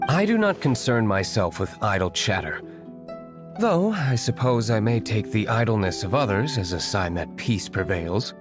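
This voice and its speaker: A man's voice: lowish voice, big pitch swings, moderate pace. A man playing an aloof, refined vigilante in a video game, formal, dry and disdainful. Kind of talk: video game character acting. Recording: plucked harp music behind the voice.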